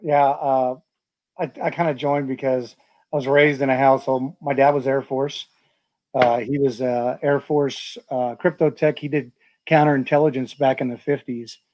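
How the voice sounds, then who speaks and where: A man's voice: mid-range voice, little pitch movement, moderate pace, a little mellow, no echo, poor audio. A man hosting an interview, talking casually with many fillers and restarts. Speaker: podcast host.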